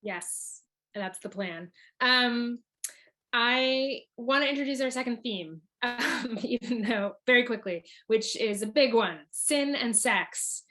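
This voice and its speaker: A woman's voice: fairly high pitch, takes it slowly, fairly bright tone. Woman moderating a panel informally.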